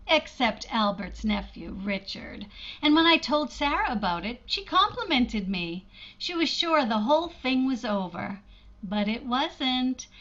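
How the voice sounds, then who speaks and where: Actress as sincere, emotive schoolteacher, high pitch, vintage radio romance, slightly dull sound. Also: female voice, a bit bright. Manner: earnest, emotive. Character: lonely, earnest schoolteacher of thirty-six in romance. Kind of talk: 1940s radio drama acting.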